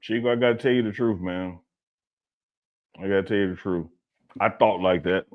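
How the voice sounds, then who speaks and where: A man's voice: deep voice, normal speed, dull audio. A man from Alabama, co-hosting a casual sports chat, joking and teasing his partner. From Selma, Alabama. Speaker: co-host.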